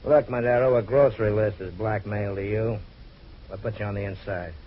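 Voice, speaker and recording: An actor playing a tough, sarcastic American police detective who needles and disbelieves, in an old-time radio crime drama. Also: male voice, fairly low pitch, steady tone, moderate pace, lightly acted. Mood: sarcastic, skeptical. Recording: dull, noisy vintage radio recording.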